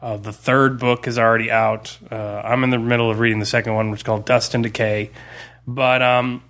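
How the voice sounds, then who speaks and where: Bright-voiced man in casual conversation. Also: mid-range voice, flat delivery, fairly quick. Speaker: podcast co-host; school teacher. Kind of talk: casual chat, recommending books.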